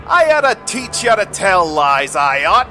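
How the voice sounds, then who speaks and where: A man's voice: sits high, big pitch swings, measured pace, sharp tone, soft background music, heavily acted. A man voicing a cruel, taunting young small-town thug in his twenties in an audio story. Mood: angry, threatening. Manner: menacing, taunting, slangy. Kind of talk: audio comic story acting.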